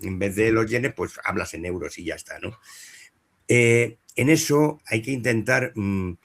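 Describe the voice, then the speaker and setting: Brief: middle-aged man, low voice, dubbing director at a panel, hesitant storytelling. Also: unhurried, gravelly, a bit bright. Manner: anecdotal, many fillers and restarts. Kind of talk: panel talk at a professional meeting.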